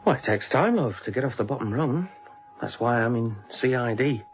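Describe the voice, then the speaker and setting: A man's voice: medium pitch, expressive, moderate pace, lo-fi sound, slight background noise, lightly acted. A man acting a chatty, cocky detective constable in naturalistic radio drama dialogue, needling with short questions. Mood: keen, teasing. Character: cocky, probing young male police detective constable.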